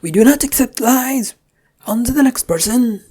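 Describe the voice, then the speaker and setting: A woman voicing a pompous, bossy troll leader in a fantasy story, with loud, commanding, drawn-out questioning. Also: on the high side, lively intonation, breathy edge, pitched above the performer's own voice. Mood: outraged.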